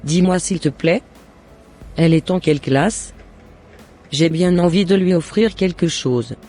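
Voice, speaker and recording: A man voicing a jealous, scheming mother and neighbour in an animated moral drama, with deliberate scripted phrasing. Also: fairly high pitch. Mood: falsely friendly. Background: faint music.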